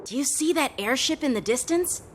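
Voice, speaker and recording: A woman's voice: sits high, animated, brisk, a little hiss or hum. A woman voicing an earnest, eager teenage boy, a proud young knight in a video game. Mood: proud, resolute. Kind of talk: English video game voice acting. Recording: music behind the voice. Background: game soundtrack music.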